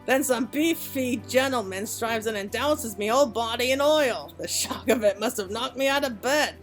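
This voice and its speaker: A woman's voice: fairly high pitch, moderate pace, close to an everyday voice. A woman acts out a proud old master craftsman from a fantasy video game. The mentor talks in a folksy, rustic dialect, with faint music playing behind. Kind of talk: video game dialogue read aloud.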